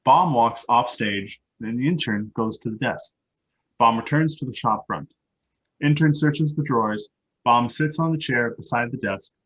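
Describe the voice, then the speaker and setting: A man reading out stage directions as scripted narration for a play, with a husky voice. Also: mid-range voice, normal speed, fairly bright tone, low-quality recording.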